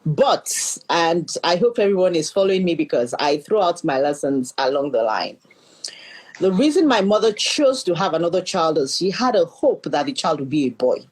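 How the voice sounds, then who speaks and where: A woman's voice: lowish voice, very animated, moderate pace, a little mellow, breathy edge, poor audio. A middle-aged Nigerian woman, a leadership coach, earnestly telling her life story as a podcast guest and often repeating words as she thinks. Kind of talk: storytelling in an interview.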